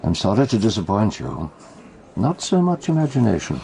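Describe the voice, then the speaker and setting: A measured, patient detective in a radio drama. The voice is lowish and gravelly, with lively rises and falls. He explains precisely and teases dryly. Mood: dry, amused. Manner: deliberate, precise, dry. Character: measured, patient male detective, gently correcting and teasing.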